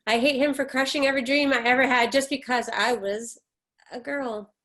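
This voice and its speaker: Casting: actress as middle-aged mother, fairly high voice, bitter and defensive, reproachful retorts in a dramatic play reading. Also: steady tone, moderate pace, a bit bright. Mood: bitter, insistent. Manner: emphatic, reproachful, clipped retorts.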